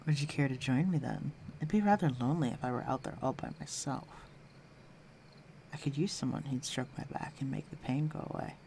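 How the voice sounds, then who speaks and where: A man's voice: medium pitch, a little fast, warm tone, a little hiss or hum, close to an everyday voice. A man playing a flirtatious, teasing villain with a secretly tender side, speaking intimately to the listener in an audio roleplay. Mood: seductive, hushed. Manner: teasing, coaxing, breathy murmurs.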